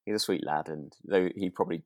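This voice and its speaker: A British man co-hosting a relaxed, casual chat, speaking hesitantly and in a gently encouraging way. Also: low voice, fast, no echo, studio quality. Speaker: podcast co-host.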